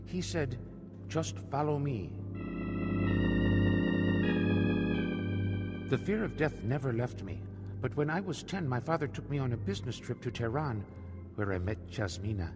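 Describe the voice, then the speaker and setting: A man's voice: mid-range voice, very animated, moderate pace, long reverb tail, loud music behind the voice. An actor playing an earnest, defiant Iranian man in a radio drama, at times narrating his own story. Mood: reflective. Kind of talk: radio drama acting and first-person narration.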